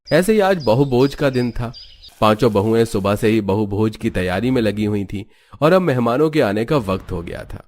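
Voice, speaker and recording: Low-voiced man narrating a story. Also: expressive, moderate pace, a little mellow.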